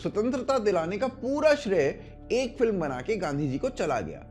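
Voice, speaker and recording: Animated, high-pitched Indian man narrating an explainer. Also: moderate pace, soft background music. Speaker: host of a video essay.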